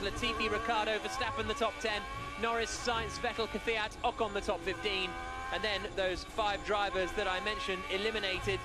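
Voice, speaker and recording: High-pitched male race commentator. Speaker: motor racing commentator. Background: racing car engines.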